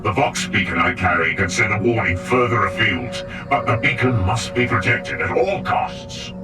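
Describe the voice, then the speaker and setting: A man's voice: on the low side, normal speed, lightly acted. A man playing a zealous, fierce space marine leader in a video game, solemn and declamatory. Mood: grim resolve. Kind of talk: video game dramatic acting. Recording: distant, over clear background music.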